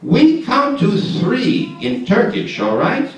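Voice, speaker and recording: A man's voice: very high-pitched, wildly swooping pitch, takes it slowly, full character voice. A man voicing a chatty, ingratiating male know-it-all in a live puppet show, coaxing the audience to join in. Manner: eager, coaxing, leads the audience in call-and-response. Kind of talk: live shadow puppet theatre. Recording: echoey hall, distant mic.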